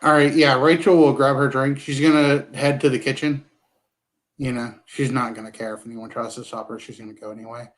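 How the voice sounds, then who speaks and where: A man's voice: mid-range voice, fairly even intonation, brisk, poor audio. Hesitant man asking questions. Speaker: player. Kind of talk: tabletop game discussion.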